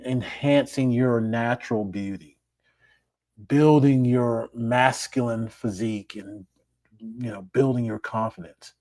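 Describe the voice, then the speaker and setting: A middle-aged man, a plastic surgeon, hosting his own podcast in a relaxed, conversational way, his voice raspy and hoarse after being ill. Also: medium pitch, dry recording. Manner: relaxed, conversational, repeats words. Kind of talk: solo podcast monologue.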